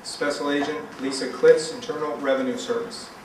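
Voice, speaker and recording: A man's voice: a little high, steady tone, moderate pace. A man acting as announcer at a public reading, introducing each reader in turn. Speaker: event announcer. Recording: distant, echoey room with clear noise.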